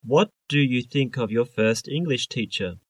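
A man reads English test sentences in a measured, careful way. His mid-pitched voice enunciates each word clearly as scripted lesson material, in a dry recording with no room sound. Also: lively intonation, no room sound. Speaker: exam listening test reader.

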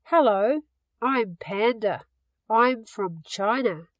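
A woman reading English listening-test items with careful, deliberate enunciation, recorded dry and close. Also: fairly high pitch, animated, no room sound. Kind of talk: scripted slow test reading.

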